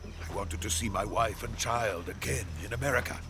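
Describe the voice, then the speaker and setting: An actor playing a middle-aged Soviet weapons scientist in a video game cutscene, fearful, grave and urgent. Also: male voice, fairly low pitch, normal speed, noisy background, lightly acted. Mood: pleading. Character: Sokolov, anxious, desperate Soviet male weapons scientist. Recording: music behind the voice.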